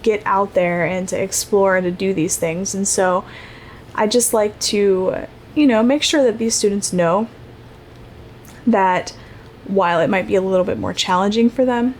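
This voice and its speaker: A young woman hosts a solo podcast, talking in an easy, rambling way full of fillers and self-corrections. Her voice sits at an ordinary middle pitch. A faint background noise runs under the recording. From Ohio, United States. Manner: chatty, rambling, many fillers and restarts. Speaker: podcast host. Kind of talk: casual solo podcast monologue.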